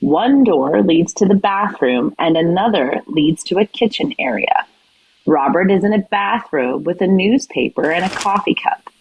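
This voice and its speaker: A woman's voice: lowish voice, expressive, normal speed, a little mellow, slightly rough, low-quality recording. A woman reading scripted stage directions as the narrator of an audio play.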